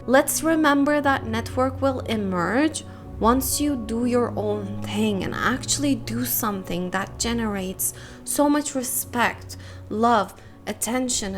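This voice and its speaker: A young woman from Turkey hosting her own podcast, talking candidly and emphatically in a solo monologue. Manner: candid, emphatic, stresses words with rising pitch. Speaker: podcast host.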